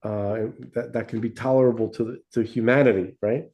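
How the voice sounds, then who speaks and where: A man in casual discussion, mid-pitched with a mellow, dark tone. He rambles and restarts often, checking in with "right?" and "okay?" to keep the listener with him. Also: fairly even intonation, a little fast, vocal fry. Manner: rambling, many restarts, seeks agreement with tag questions. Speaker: podcast co-host.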